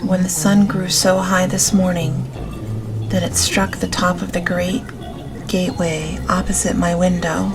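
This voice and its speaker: A woman with a slightly low voice reads a gothic novel aloud as an audiobook. Her delivery is gentle and very slow, each phrase measured. Prominent music and ambience play beneath her. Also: level tone. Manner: gentle, unhurried, measured reading. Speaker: audiobook narrator.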